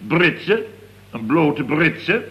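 An actor playing a flustered, indignant middle-aged building boss, telling things in a long-winded, exasperated way in a comic radio serial. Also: male voice, fairly high pitch, very animated, very slow, highs cut off, heavily acted. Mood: exasperated storytelling. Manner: long-winded, exasperated storytelling peppered with verbal tags. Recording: old radio recording with faint noise.

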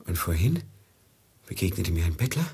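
An actor playing a dazed, fearful and fatalistic merchant master in a German radio play, halting and bewildered, with a gravelly, husky voice. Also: male voice, sits low, big pitch swings, dark tone, full character voice. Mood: haunted.

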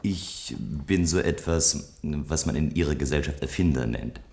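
A man playing a composed, self-assured inventor from a future society in a scripted German science fiction radio play, speaking in a measured, articulate way. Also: rumbling low, a little mellow, breathy edge, exaggerated voice. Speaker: narrator and lead character in a radio play. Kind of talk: scripted German radio drama, first-person narration.

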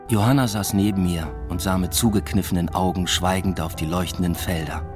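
Music runs under a deep male voice. An actor plays a weary, brooding academic and family man telling his own story in a radio drama, understated and inward. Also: steady tone, fairly quick, lightly acted. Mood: contemplative. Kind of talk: scripted first-person radio drama narration with dialogue. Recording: music behind the voice.

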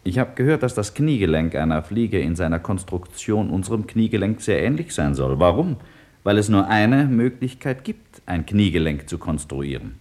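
An actor playing a philosophical scientist who lectures and argues with assurance in a 1950s radio play. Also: male voice, low voice, sing-song, moderate pace, darkish tone. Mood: assured, reasoning.

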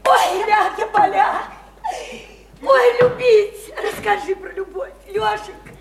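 A shrill, teasing, coaxing provincial girl. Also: expressive. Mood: teasing, giddy. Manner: exclamatory, swooping, drawn-out cajoling. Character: teasing, coaxing provincial woman begging for love talk. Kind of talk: theatrical radio play acting. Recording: echoey, noisy radio play recording.

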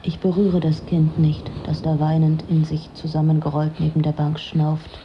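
A woman's voice: sits low, monotone, normal speed, lo-fi sound, slight background noise. An actress playing a weary, detached, lonely woman who narrates her own story in a dry, introspective way for a radio drama. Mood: detached, observant. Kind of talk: literary first-person radio drama narration.

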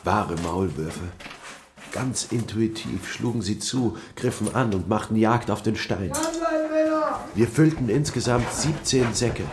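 A man's voice: lowish voice, expressive, measured pace, a little mellow, slight background noise. A man giving a dramatic scripted literary narration as the story's narrator.

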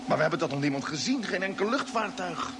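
A man's voice: high voice, lively intonation, fast. A male actor playing an anxious, questioning space fleet commander in a mid-century radio drama. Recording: hissy old radio recording.

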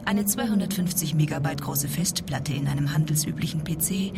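A woman's voice: low-pitched, a little fast, creaky, background noise. A woman reading scripted documentary narration in a factual, orderly manner. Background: music.

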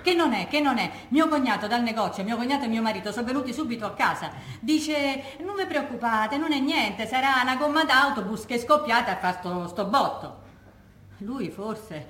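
An actress plays a working-class Roman widow in a stage monologue, recorded in an echoey room. She has a mid-pitched voice and a Roman accent. She retells wartime memories colloquially and vividly, acting out each person's words. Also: fairly bright tone, a little hiss or hum, natural voice. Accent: Roman Italian. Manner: colloquial, vivid, re-enacting remembered dialogue. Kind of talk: theatrical monologue as oral testimony.

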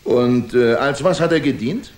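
An actor in a German radio drama playing a pompous, suspicious commandant who questions in a haughty, probing way. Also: male voice, medium pitch, measured pace, a little mellow, lightly acted. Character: suspicious, pompous military commandant interrogating a soldier.

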